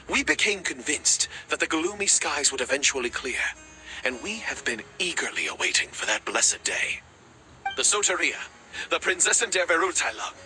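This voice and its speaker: Fervent, theatrical raven warrior, bright voice. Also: male voice, a little high, exaggerated voice, poor audio. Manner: dramatic, grandiloquent, fervent. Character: reckless, devoted raven warrior, earnest and theatrical. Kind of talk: video game voice acting. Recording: background music under the voice.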